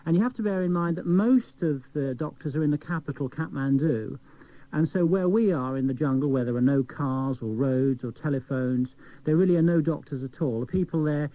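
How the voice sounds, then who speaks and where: A man's voice: fairly high pitch, fairly quick. A man, a doctor being interviewed, explaining things articulately and telling anecdotes. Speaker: doctor, studio guest. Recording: tinny band-limited old cassette radio recording.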